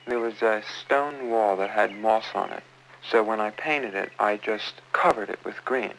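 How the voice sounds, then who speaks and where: A man's voice: lowish voice, measured pace, a bit bright, slight background noise, low-quality recording. A young man talking casually and reflectively in an interview on an old film soundtrack. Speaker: interviewee, painter.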